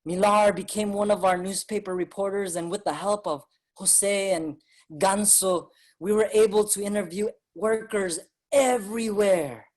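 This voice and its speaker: An actor playing an earnest Filipino migrant writer and activist, narrating dramatically in a staged reading. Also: male voice, high-pitched, a little slow. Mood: reflective, grave.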